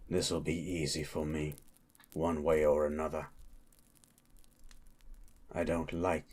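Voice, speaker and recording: Casting: male actor for a menacing hired monster slayer, fantasy audio roleplay. Husky, extremely deep, terse and cold, with flat delivery. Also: exaggerated voice. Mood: cold threat. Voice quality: husky, very low. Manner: slow, deliberate, terse, coldly interrogating. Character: grim, menacing male monster hunter for hire, calmly threatening. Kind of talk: improvised ASMR fantasy roleplay.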